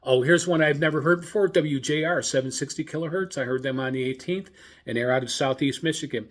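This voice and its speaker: A man hosting a casual hobby radio show, talking in a halting, hesitant way with many filler pauses. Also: on the high side.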